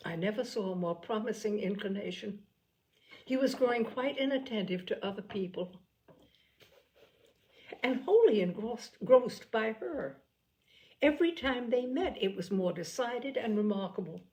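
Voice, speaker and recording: A witty, lively young woman from a classic storybook reading, quick with irony and dry humour, as a woman reader plays her. She speaks with clear spirit. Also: on the high side, rough recording. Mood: ironic. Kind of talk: audiobook character voice.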